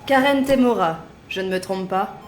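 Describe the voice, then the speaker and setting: A woman's voice: medium pitch, normal speed, background noise, natural voice. A woman playing a composed, enigmatic executive director in a French audio drama. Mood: composed, knowing. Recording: roomy echo, music behind.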